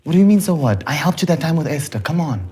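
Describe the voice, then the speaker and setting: A man's voice: a little high, sing-song, quick pace, dark tone, slightly rough, exaggerated voice. A man acting as a goofy, eager young suitor in a TV sitcom, with a slangy, pleading manner. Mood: sheepish, defensive. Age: young adult.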